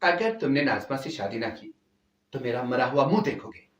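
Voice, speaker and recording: A man's voice: mid-range voice, very animated, moderate pace, lightly acted. A man voicing a stern, admonishing mother who pressures her son in a dramatic story. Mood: threatening. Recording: echoey, distant from the mic.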